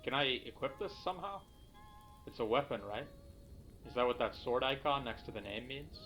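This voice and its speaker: A man's voice: medium pitch, lively intonation, normal speed, a bit bright. A man playing a video game and thinking aloud in a casual, questioning way. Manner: questioning, musing. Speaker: video game player in a horror series. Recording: music clearly behind the voice. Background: music.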